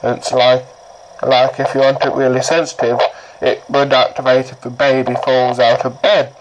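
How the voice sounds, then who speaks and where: A man giving a casual, rambling how-to explanation, halting and often repeating his words, as he demonstrates a product. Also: medium pitch, little pitch movement. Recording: noisy amateur recording, clicks.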